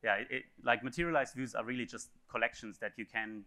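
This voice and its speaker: Bright-voiced hesitant man answering questions. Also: mid-range voice, fast. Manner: hesitant, many fillers and restarts. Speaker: conference speaker answering questions. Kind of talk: technical Q&A after a talk.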